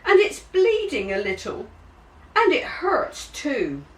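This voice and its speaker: Lady doing a scared little schoolboy for a kids story, whiny and high, a bit far from the mic. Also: huge pitch swings, takes it slowly, full character voice. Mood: sorry for himself. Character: frightened little schoolboy with a cut finger. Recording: roomy, distant mic, faint hiss.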